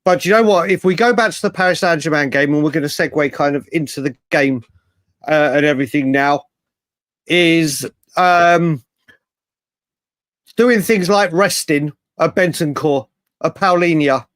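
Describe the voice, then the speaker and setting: English male football show host, fairly high voice, dry sound, matey and digressive live banter. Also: normal speed, a bit bright, dry recording. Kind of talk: casual live fan debate.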